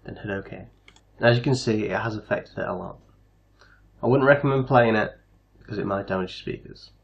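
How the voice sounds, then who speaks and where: A man hosting a casual software tutorial, explaining things step by step. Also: fairly low pitch, fairly quick, gravelly, lo-fi sound. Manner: step-by-step instructional. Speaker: tutorial host.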